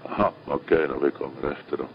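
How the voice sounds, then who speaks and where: A man with an extremely deep voice answers as a ship's radio operator. His maritime exchanges come through a dull, muffled radio recording. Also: steady tone.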